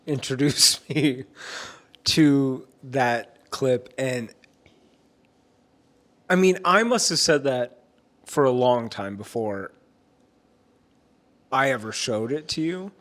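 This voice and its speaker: A man's voice: medium pitch, takes it slowly, pauses often, fairly bright tone. A young man vlogger chatting casually and joking with his partner. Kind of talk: casual chat.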